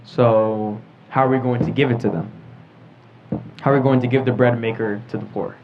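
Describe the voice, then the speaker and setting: A man's voice: medium pitch, fairly even intonation, normal speed. A teenage male podcast host leading a casual group discussion, often checking in with "right?" as he explains and prompts. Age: teens. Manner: prompting with frequent "right?" tags. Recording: dull lo-fi audio, background noise.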